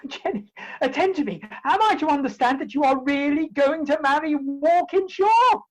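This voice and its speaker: A woman playing a bumbling, lovesick Victorian gentleman in a comic play, with an English accent and blustering, theatrical delivery, recorded at home over a video call. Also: sits high, animated, moderate pace, poor audio. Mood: flustered. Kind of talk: comic stage-play acting.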